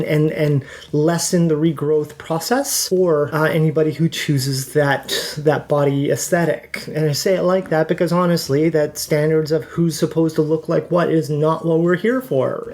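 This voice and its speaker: A woman's voice: deep voice, a little mellow. A woman hosting a podcast, talking candidly and casually to her audience, her voice held up with a raised voice box. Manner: candid, chatty, laughs easily. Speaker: podcast host. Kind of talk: casual monologue answering viewer questions.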